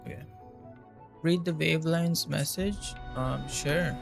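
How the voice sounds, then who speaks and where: A man's voice: a little high, slow, creaky. A man doing casual live gameplay commentary, muttering to himself and swearing freely. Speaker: game streamer. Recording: game music behind the voice.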